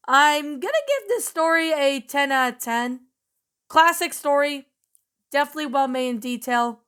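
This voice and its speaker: A woman whose voice is hoarse from a cold, sitting a little high in pitch. She hosts her own channel and talks through a casual review in a loose, rambling way, full of fillers and restarts, staying upbeat. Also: a bit bright. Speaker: channel host reviewing a story.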